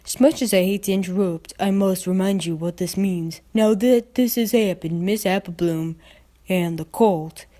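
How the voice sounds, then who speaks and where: A formal male pony official, acted by a woman reader. He talks in a measured, matter-of-fact manner, as a cartoon storybook character. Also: medium pitch, steady tone, dark tone, lightly acted.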